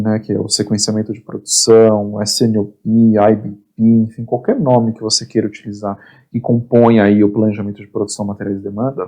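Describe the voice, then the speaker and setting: Man, hoarse, raspy, online course instructor, short phrases, frequent check-ins. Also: mid-range voice, mellow. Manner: explains in short phrases, constant "tá?" check-ins, repeats words. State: getting hoarse.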